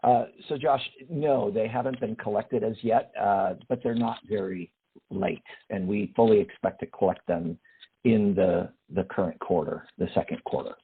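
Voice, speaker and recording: A man, a finance executive, reading a formal financial report in a steady manner over a phone line. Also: on the low side, normal speed, husky.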